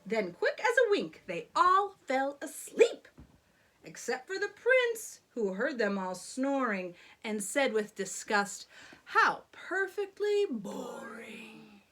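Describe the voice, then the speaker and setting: A woman's voice: on the high side, big pitch swings, slow pace, a bit bright. A woman reading a children's bedtime story expressively, with theatrical, rhythmic delivery of the rhymes. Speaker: storybook reader.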